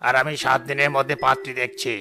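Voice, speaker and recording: A man's voice: medium pitch, level tone, fast talker, sharp tone, natural voice. A man playing a bossy, miserly, rich older village chief in a cartoon, speaking in a commanding and condescending way. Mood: self-important. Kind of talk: Bengali cartoon voice acting.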